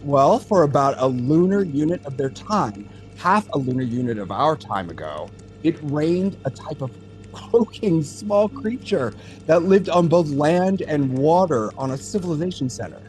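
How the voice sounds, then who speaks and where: An actor playing an experienced, thoughtful male alien data center technician in an audio drama, explaining things in a measured way. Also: a little high, very animated, darkish tone, faint music behind.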